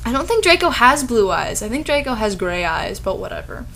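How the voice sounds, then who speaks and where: A woman's voice: a little high, big pitch swings, brisk, vocal fry, a bit bright, slight background noise. A woman doing a dramatic reading of fan fiction with sarcastic, incredulous commentary.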